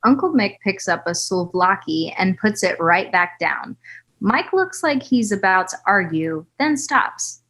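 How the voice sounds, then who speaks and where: A woman's voice: medium pitch, expressive, unhurried, fairly bright tone. A woman narrating stage directions in a scripted style for a comedy table read.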